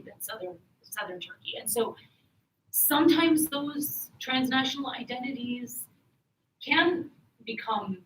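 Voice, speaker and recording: A woman's voice: a little high, a bit bright. Woman panelist in an echoey room. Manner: hesitant, many fillers. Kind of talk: panel discussion. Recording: echoey room, distant mic, faint noise.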